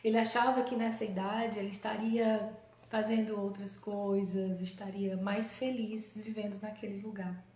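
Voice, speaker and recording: A woman hosts a live stream as a holistic therapist, speaking in a gentle, conversational way at a mid pitch. She tells her story casually and keeps checking in with her listeners. The room around her voice is noticeably echoey. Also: little pitch movement, moderate pace.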